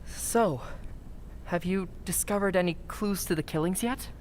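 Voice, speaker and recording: A woman's voice: a little slow, darkish tone, lightly acted, rough recording. A woman playing an earnest, polite, nervous young townsman in old-time radio drama style, with hesitant delivery. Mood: uneasy. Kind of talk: 1940s radio drama acting. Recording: faint rumbling background noise.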